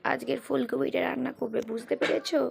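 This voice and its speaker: A woman voicing a demanding old village mother-in-law in an amateur cartoon dub, creaky. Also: sits high, fairly even intonation, fast talker. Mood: bossy. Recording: very noisy amateur recording.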